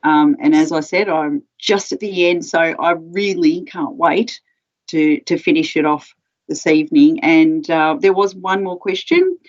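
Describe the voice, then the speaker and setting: Low-voiced woman hosting over a video call. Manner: measured, reads out audience questions. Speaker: library interviewer. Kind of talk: hosting a live interview. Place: home room. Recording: slightly dull video call audio.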